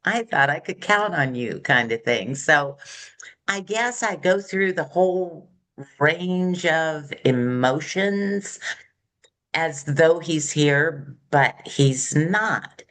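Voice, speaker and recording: A woman's voice: deep voice, expressive, slow pace, a bit bright. An American woman in her seventies telling personal stories in conversation as a podcast guest, candid and acting out bits of dialogue. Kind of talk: reflective personal storytelling in conversation.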